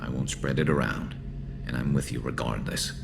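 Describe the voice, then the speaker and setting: A super low gravelly alien soldier from a video game, loyal but dry and wry, bit battle worn. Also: male voice, fairly even intonation, normal speed, background noise, heavily acted. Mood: wry, relaxed.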